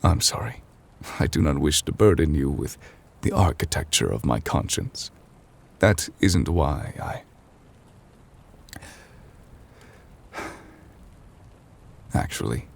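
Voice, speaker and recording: A man playing an ancient, weary chief judge in an intimate ASMR roleplay, formal and gentle, speaking in measured, hesitant phrases. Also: heavily acted. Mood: apologetic, hesitant. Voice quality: husky, breathy low voice. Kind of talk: intimate ASMR audio roleplay, slow dramatic monologue.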